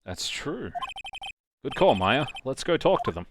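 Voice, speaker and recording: A man in his twenties playing a dry, deadpan, easily exasperated young defense lawyer in a live game fan dub. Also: mid-range voice, unhurried. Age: 20s. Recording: noisy live stream microphone.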